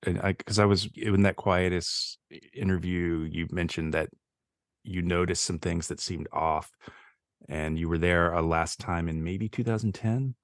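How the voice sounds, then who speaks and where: A man interviewing casually as a podcast host, with halting, tentative questions. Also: sits low, steady tone, dry recording, studio quality.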